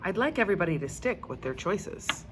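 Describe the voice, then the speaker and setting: A woman's voice: on the low side, very animated, brisk, background noise. A woman voicing an earnest, encouraging male schoolteacher in a children's storybook, instructive and emphatic. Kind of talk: children's storybook read-aloud.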